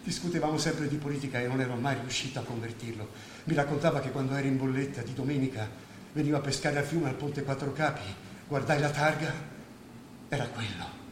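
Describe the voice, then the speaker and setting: An actor playing a reflective, educated fugitive in a stage drama, telling his story in a measured, theatrical way. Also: mid-range voice, lightly acted. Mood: reflective, wistful. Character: reflective, educated male fugitive recalling an old comrade. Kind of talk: stage drama acting, monologue. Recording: echoey theatre stage, faint noise.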